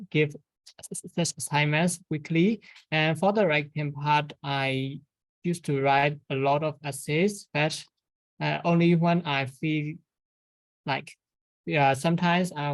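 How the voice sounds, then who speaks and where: A woman's voice: sits low, no room sound, low-quality recording. Hesitant woman recounting her preparation. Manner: hesitant, many "uh" fillers and restarts. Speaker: language instructor. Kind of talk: webinar panel talk.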